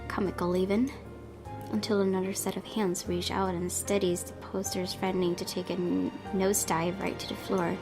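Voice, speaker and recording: A woman reading a visual novel aloud as a let's play streamer, in expressive narration mixed with casual commentary. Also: mid-range voice, moderate pace. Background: game music.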